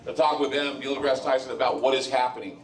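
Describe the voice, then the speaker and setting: A male radio host speaking live at a venue, his voice at an ordinary middle pitch. He rambles and repeats himself as he talks. The sound is roomy and echoey, picked up from some distance off the mic. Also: steady tone, quick pace. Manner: rambling, conversational, repeats words. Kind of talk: live event talk to an audience.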